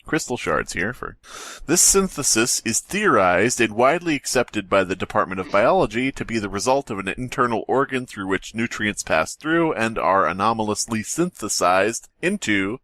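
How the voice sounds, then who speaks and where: A man with a lively, swinging pitch, recorded close and dry. He co-hosts a comedy podcast in a casual, profane, joking way. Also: a little slow, no echo. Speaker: podcast co-host. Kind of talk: casual comedic banter and read-aloud.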